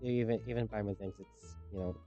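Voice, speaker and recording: A man's voice: fairly low pitch, steady tone, a little fast, mellow, dull audio, soft background music. A man livestreaming a game, reacting casually and hesitantly, repeating phrases and breaking into exclamations. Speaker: streamer.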